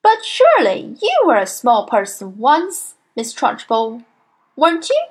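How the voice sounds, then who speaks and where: A woman's voice: fairly high pitch, wildly swooping pitch, a little slow, husky, pitched above the performer's own voice, heavily acted. A woman voicing a brave little schoolboy in a children's storybook, earnest, innocent and a bit nervous. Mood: bold, questioning.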